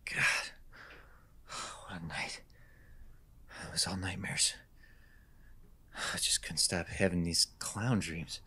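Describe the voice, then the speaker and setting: A man playing a jittery, anxious young husband in a horror radio drama, speaking haltingly. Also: low voice, fairly even intonation, bright, forceful tone, full character voice. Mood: shaken. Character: jittery, nightmare-plagued young husband on honeymoon. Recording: clearly noisy background ambience.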